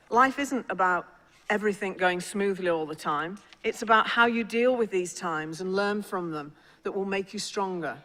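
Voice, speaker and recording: A middle-aged speaker with a Liverpool accent, a senior university official giving a measured, encouraging formal graduation address. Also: medium pitch, fairly bright tone. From England (Liverpool). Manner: measured, encouraging, formal delivery with small restarts. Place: large ceremonial hall. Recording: long hall reverb at ceremony.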